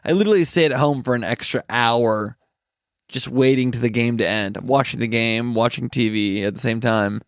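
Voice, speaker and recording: Animated man telling a comedy gig story. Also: medium pitch, moderate pace, smooth, no echo, clean studio sound. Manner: animated storytelling, quoting others. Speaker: podcast co-host, comedian. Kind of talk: casual chat.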